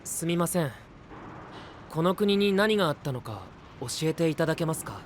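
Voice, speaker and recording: A man voicing a calm, level-headed young male knight in an anime voice drama, speaking politely and leading the group. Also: a little high, moderate pace, a little hiss or hum, natural voice. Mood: steady.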